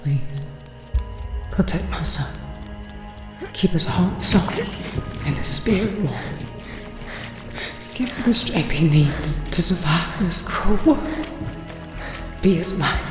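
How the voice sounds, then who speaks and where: A desperate, loving mother in an audio drama. She pleads slowly and prayerfully in a hushed voice. Her words echo with a long tail over prominent music. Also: on the low side, expressive. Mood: pleading, prayerful. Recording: echoey, long reverberant tail.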